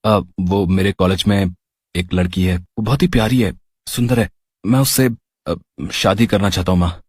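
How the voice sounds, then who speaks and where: A man's voice: low-pitched, fairly even intonation, normal speed, darkish tone, husky, dry recording, clean studio sound. A man voicing an earnest young adult son in a cartoon story, hesitant and pleading with his parents. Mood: shy, nervous.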